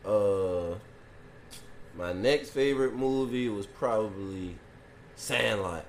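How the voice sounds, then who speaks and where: A man co-hosting a podcast in a relaxed, joking casual chat, laughing often and swearing freely. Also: lowish voice, slow, a little hiss or hum. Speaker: podcast co-host.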